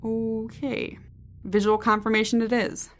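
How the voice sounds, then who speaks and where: An actress playing a tough, sardonic mutineer in an audio drama, speaking in a clipped, businesslike way. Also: female voice, on the high side, little pitch movement, a little slow, a little hiss or hum, lightly acted. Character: tough, wry, brisk female mutineer guard.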